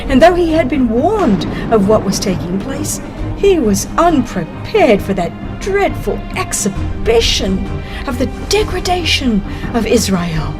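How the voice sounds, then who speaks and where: A woman reads a dramatized religious history as a narrator, in a solemn, deliberate storytelling manner. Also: fairly high pitch. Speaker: audiobook narrator. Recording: music behind the narration. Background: music.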